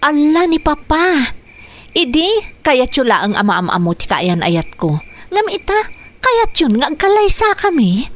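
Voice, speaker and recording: A woman's voice: high voice, big pitch swings, unhurried. A woman playing an earnest, protective young daughter in a radio drama, talking in a pleading manner. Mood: exasperated. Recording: faintly noisy radio drama track.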